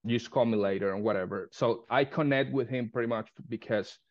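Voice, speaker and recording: Spanish accented guy, limo owner, halting and repeating words, dry muffled call audio. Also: mid-range voice, no echo. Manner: halting, repeats words, non-native grammar. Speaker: limo business owner, guest. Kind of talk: casual interview on a video call. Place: living room at home. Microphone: phone camera on a video call.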